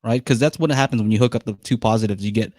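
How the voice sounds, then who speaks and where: A man's voice: on the low side, rapid-fire, a little mellow, dry recording, clean studio sound. A man hosting a live call-in podcast, chatting casually and laughing easily with callers. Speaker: podcast host.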